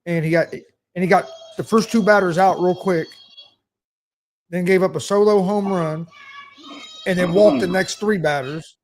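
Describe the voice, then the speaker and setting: A man's voice: high voice, normal speed, long pauses, slight background noise. A man in his forties co-hosting a casual sports talk show, giving a plain, rambling recap. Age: 40s. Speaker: co-host.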